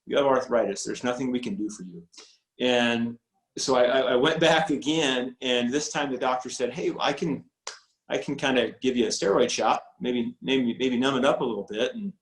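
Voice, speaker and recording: A man in his forties, a high school football coach, talking casually on a video call, rambling with many restarts and fillers. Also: normal speed. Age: 40s. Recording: roomy echo on video call audio.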